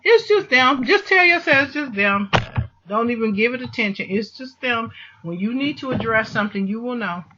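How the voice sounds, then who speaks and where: High-pitched man, bright emphatic delivery. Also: animated, moderate pace, muffled recording, a little hiss or hum. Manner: emphatic, repeats phrases for stress. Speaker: vlogger answering a listener. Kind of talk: impassioned motivational monologue with religious encouragement.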